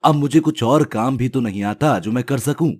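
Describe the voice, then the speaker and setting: A man's voice: mid-range voice, moderate pace, a little mellow, natural voice. A man playing an honest, simple village snack seller in a storybook tale, speaking plainly. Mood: resigned. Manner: earnest, plain-spoken. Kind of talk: storybook character dialogue.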